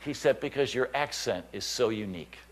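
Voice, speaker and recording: A man's voice: medium pitch, fairly quick, very noisy. A man, a missionary pastor telling stories in a sermon and acting out the voices of the people he quotes. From United States. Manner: animated storyteller, acts out quoted voices, chuckles. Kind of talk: storytelling sermon.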